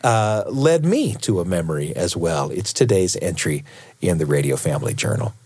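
A man's voice: low voice, expressive, normal speed, darkish tone. A middle-aged American man hosting a radio show, chatting and telling personal stories. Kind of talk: warm-up chat and personal storytelling narration.

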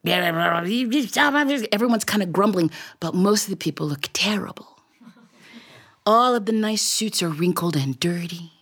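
Female live storyteller, theatrical and highly animated, husky tone, acts out sound effects in a comic tale. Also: measured pace. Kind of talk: comic folktale performed live. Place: radio studio, live.

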